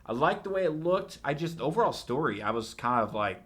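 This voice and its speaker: A man's voice: fairly high pitch, sing-song, fairly quick, a bit bright. A man giving casual, rambling opinions in a review chat, with plenty of swearing. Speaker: podcast co-host reviewer.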